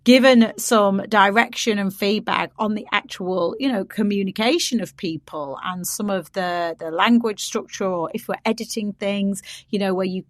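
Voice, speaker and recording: A woman's voice: medium pitch, normal speed, hardly any pauses, no echo, studio quality. A woman hosting a podcast, asking long, winding, curious questions in casual conversation. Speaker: podcast host. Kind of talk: casual interview chat.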